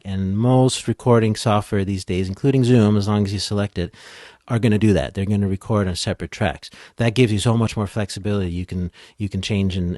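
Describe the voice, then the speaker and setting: A man's voice: on the low side, no room sound, clean studio sound. A man speaking as an expert guest in a casual interview, explaining things step by step in a conversational way. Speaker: podcast editing expert guest.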